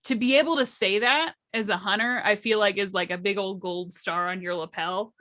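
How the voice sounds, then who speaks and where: A woman's voice: mid-range voice, normal speed, sharp tone. A woman casually explaining roleplaying storytelling, emphatic and drawing out her key words. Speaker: panelist at a tabletop roleplaying storytelling workshop.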